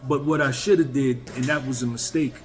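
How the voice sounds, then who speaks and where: A man's voice: mid-range voice, fairly even intonation, a little fast, background noise. A man in his fifties, a YouTuber, talking candidly and bluntly to his viewers in a casual, emphatic way. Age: 50s. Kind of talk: casual talk to camera.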